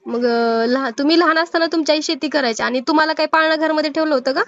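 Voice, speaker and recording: High-pitched Marathi woman scolding over phone. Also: fast talker, fairly bright tone, no echo. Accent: Marathi, Maharashtra. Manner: scolding, insistent, moralising, rhetorical questions. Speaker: old-age home worker taking a call. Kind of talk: phone counselling conversation, admonishing. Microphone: phone call. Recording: muffled lo-fi phone call recording.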